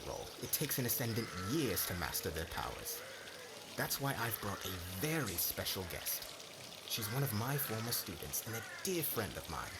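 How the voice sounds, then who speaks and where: A kindly, formal professor of magic played by a man addresses a class in a fantasy audio roleplay. The voice sits fairly low and moves in lively swings, with instructive phrasing. Loud ambient noise runs behind it. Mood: encouraging. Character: kindly male academy professor teaching young magic students. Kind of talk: ASMR roleplay acting.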